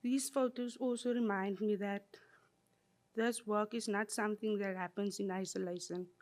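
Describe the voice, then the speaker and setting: A woman giving a prepared conference presentation in a measured, reflective way. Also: mid-range voice, steady tone, a little mellow.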